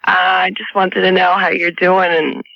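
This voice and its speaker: A woman's voice: lowish voice, flat delivery, normal speed, gravelly, no echo. A woman from Brooklyn chatting casually as a caller on a radio phone-in. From Brooklyn, United States. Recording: dull lo-fi call to radio.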